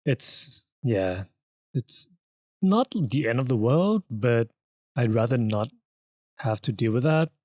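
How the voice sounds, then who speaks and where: A man's voice: medium pitch, lively intonation, slow pace, long pauses, a little mellow, no echo, clean studio sound. A man co-hosting a podcast, chatting casually and informally. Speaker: podcast co-host and audio editor. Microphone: close podcast microphone.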